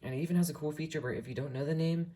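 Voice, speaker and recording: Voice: medium pitch, very fast, dark tone. A person with an earnest, rambling, enthusiastic way of talking, giving a casual tour of a hobby collection. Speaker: hobbyist video creator showing a radio collection.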